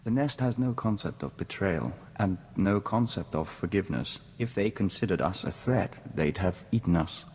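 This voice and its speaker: A man's voice: sits low, lively intonation, moderate pace, hardly any pauses, a little mellow. A man playing a serene diplomat joined to an insect hive mind in a game, speaking formally and with measure, calling himself "we.". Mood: calm, reflective. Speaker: game companion character. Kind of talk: scripted game dialogue acting. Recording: reverberant hall, clear background noise.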